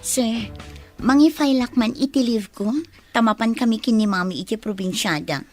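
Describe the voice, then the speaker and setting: A woman's voice: on the high side, normal speed, darkish tone, soft background music, close to an everyday voice. A woman playing an eager, obedient young secretary and daughter in a radio drama, with an Ilocano accent. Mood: polite.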